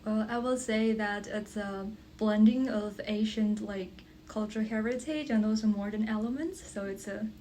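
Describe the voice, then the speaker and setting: A young woman from China with Mandarin as her first language speaks in a soft-edged, mellow tone at medium pitch. She explains things conversationally over faint room noise. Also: moderate pace, few pauses. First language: Mandarin Chinese. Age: young adult. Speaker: student guest. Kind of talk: casual explanatory chat.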